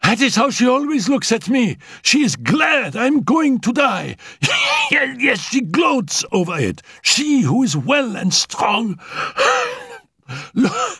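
A man's voice: sing-song, slow, vocal fry, slightly rough, no room sound, clean studio sound, full character voice. A man voicing a frail, feverish young woman who is dying but defiant, coughing and laughing breathlessly as she shrieks. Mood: bitter shrill. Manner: coughing fits, breathless laughter, shrill outbursts.

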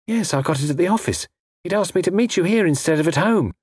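A man's voice: high-pitched, animated, quick pace, a little mellow, husky, no room sound, clean studio sound, voice pushed up. A man voicing an anxious, earnest young woman with an emotional manner in an audiobook adventure. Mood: bewildered. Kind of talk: audiobook character voice.

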